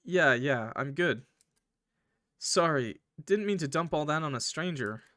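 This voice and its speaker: A man's voice: a little high, expressive, a little slow, a bit bright, no room sound, studio quality. A man voicing an awkward, withdrawn, weary young passenger in a visual novel, hesitant and casual. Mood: reassuring, apologetic.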